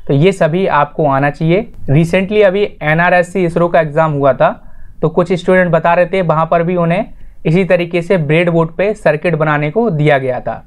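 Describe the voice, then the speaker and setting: A man's voice: on the high side, moderate pace. A man hosting an informal explanatory voice-over lesson, addressing viewers directly in an instructional way and restating his points. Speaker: tutorial host.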